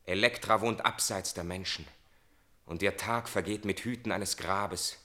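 An actor playing a grave, secretive avenging brother in a German radio tragedy, speaking solemnly and urgently in standard stage German. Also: male voice, deep voice, steady tone, measured pace, sharp tone. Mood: grave, measured. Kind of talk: theatrical German radio play tragedy acting.

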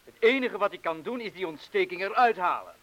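Bright-voiced, steady criminal accomplice. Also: male voice, sits high. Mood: urgent, firm. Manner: measured, laconic, calming, firm. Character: steady, cautious criminal accomplice defusing a bomb. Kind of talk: radio drama acting. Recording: hissy old radio play recording.

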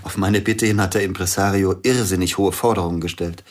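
Radio play: a bereaved pianist, deep voice, husky and hushed. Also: male voice, steady tone, a little fast. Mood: grieving. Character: grieving widowed male concert pianist. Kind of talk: radio drama acting.

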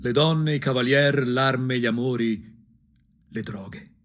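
A man from provincial Tuscany narrating a theatrical radio monologue, husky, dramatic and ironic, dropping into whispers. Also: medium pitch, slow pace, fairly bright tone, soft background music. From provincial Tuscany, Italy. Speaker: narrator and actor.

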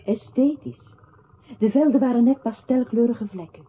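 An actress playing a narrator in a poetic radio play, reciting in a measured, detached way. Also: female voice, a little high, breathy edge. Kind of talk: literary radio drama recitation. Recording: thin, phone-like old radio-play recording.

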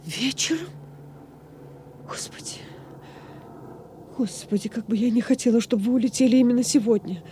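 A woman's voice: a little high, normal speed, long pauses, background noise, lightly acted. An actress playing a tender, anxious woman in love, emotional and pleading, in a radio war drama. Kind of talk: Soviet radio drama acting.

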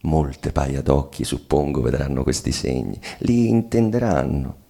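An actor playing a tormented, bitter bourgeois husband in a solemn stage monologue, declaimed with weighted pauses. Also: male voice, rumbling low, gravelly, warm tone, full character voice. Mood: bitter, accusing. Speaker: actor in a dramatic reading. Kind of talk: theatrical monologue reading of a stage play.

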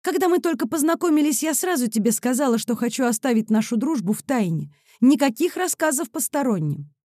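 A woman narrator plays an easily flustered woman in her late twenties from an audiobook romance. She is touchy and exclaims indignantly. Her pitch is on the high side and the sound is dry. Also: sing-song, brisk, warm tone, no room sound.

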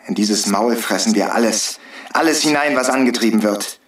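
Actor as a defiant hostage-taker, roomy and distant. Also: male voice, fairly low pitch, moderate pace, lightly acted. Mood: solemn preaching. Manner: declamatory, taunting, needling. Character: defiant drug-free hostage-taker, bitter, taunting, preaching agitator. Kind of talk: radio drama acting.

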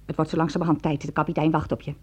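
Husky, nagging tavern waitress. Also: female voice, low-pitched, level tone, racing. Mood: satisfied, cool. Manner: blunt, nagging. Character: money-minded, pushy tavern waitress, the sergeant's fiancée. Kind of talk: Dutch radio drama acting.

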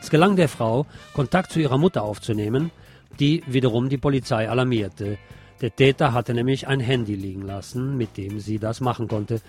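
A man's voice: medium pitch, a little fast, darkish tone, husky, soft background music. A man presenting radio news from a script, with the occasional wry aside.

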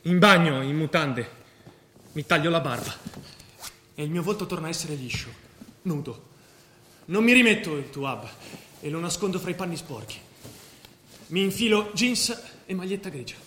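In a roomy stage recording with faint noise, a devout, nervous young man. His voice is fairly high, and he recounts his own movements in short, bare phrases as a dramatic monologue. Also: expressive, normal speed, pauses often, bright, forceful tone. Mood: tense. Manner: short declarative present-tense phrases. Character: devout, nervous young male would-be suicide bomber. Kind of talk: first-person stage monologue in radio drama.

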